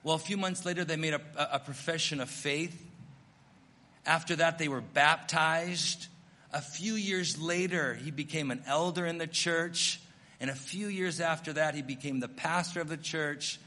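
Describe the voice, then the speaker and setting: A middle-aged American missionary pastor preaching a sermon, telling stories in an earnest, self-deprecating way. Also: male voice, on the high side, moderate pace, sharp tone, big-room sound. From United States.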